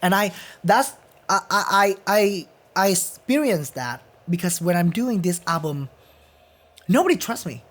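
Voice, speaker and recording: A man's voice: high voice, animated, a little slow, a bit bright. A man from Vietnam with a Vietnamese accent, speaking English as a second language as a guest musician on a podcast. He talks earnestly and emphatically, repeating phrases to drive his point home, in a recording studio. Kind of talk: casual interview conversation.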